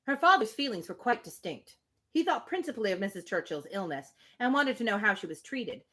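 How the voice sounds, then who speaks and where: A woman's voice: mid-range voice, sing-song, a little fast, bright, forceful tone. Woman reading a novel aloud, chatting informally. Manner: informal, opinionated asides. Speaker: reader on a livestream. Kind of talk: live book reading with casual commentary.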